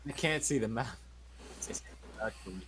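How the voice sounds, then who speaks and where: A man playing in a tabletop game session, blunt and profane, asking quick rule questions. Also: medium pitch, expressive, background noise. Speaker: player. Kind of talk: casual tabletop game chat.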